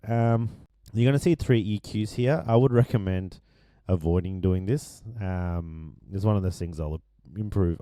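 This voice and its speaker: Man, low deep voice, hoarse, rambling producer tutorial, dry close sound. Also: no room sound. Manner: relaxed, rambling, many ums and restarts. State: losing his voice. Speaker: music producer explaining his mix. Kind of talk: casual screen-share tutorial.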